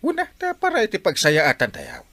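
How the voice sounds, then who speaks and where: Excitable village captain, swooping voice. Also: male voice, fairly high pitch, a little fast, no echo. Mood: cheerful, earnest. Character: jovial male village captain, proud, easily sidetracked from duty. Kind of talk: comic radio drama acting.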